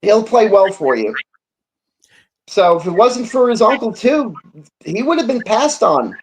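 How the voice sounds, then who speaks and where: A talk show voice of middle pitch tells sports stories in a casual way. The delivery meanders, doubling back and slipping into quoted dialogue. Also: sing-song, a little slow, slight background noise, poor audio. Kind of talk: casual storytelling in sports chat.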